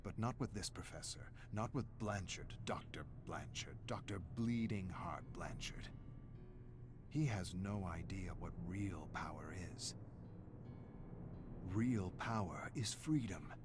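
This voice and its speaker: A man's voice: deep voice, animated, takes it slowly, noisy background. A man giving a dramatic scripted story narration, intense and emphatic, leaning on repeated phrases.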